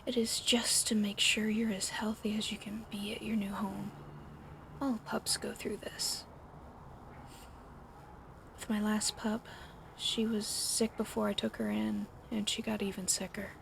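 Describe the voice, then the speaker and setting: A woman whispering softly in an ASMR roleplay, caring but gently bossy, recorded close to the mic at home with a fan running behind her. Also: mid-range voice, little pitch movement, unhurried, gravelly, long pauses. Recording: fan noise in background.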